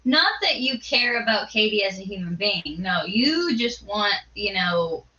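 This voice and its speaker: A woman's voice: medium pitch, lively intonation, unhurried, bright, forceful tone, lo-fi sound. A woman giving reaction commentary as a co-commentator on a livestream. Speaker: live stream co-commentator.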